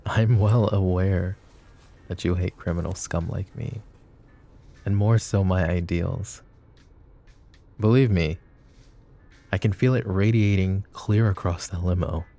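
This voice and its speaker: A man playing a calm, menacing, flirty mafia boss in an intimate ASMR roleplay, speaking deliberately in a gravelly murmur. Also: low-pitched, exaggerated voice. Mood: soft, conceding. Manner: deliberate, coaxing, philosophizing.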